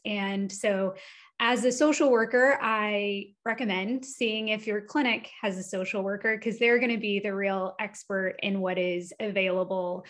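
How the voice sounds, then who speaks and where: Social worker lady in her 30s on a video call, mid-pitched, clear and informative. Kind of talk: giving advice on a panel.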